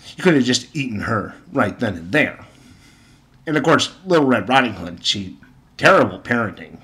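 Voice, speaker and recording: A man's voice: medium pitch, sing-song, moderate pace, bright, forceful tone. Man giving exasperated, informal commentary. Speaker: video commentator. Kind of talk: informal opinion commentary with story retelling.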